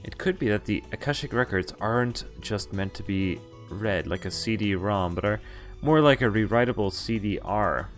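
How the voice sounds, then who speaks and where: A man's voice: on the low side, lively intonation, moderate pace, faint music behind. A man streaming a visual novel, reading the game text aloud in a half-acted way and breaking off for wry, casual asides.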